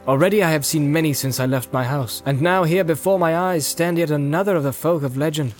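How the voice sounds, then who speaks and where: A man voicing a stately old king, formal and courtly, full of measured wonder, in a storybook reading. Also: a little high, faint music behind, lightly acted.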